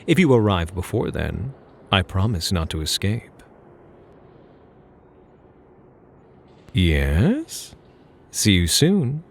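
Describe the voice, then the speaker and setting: A man playing a smug, flirtatious phantom thief in an ASMR roleplay, teasing and mock-polite, with a husky, gravelly voice. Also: deep voice, darkish tone, a little hiss or hum, heavily acted. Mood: taunting, mock-polite.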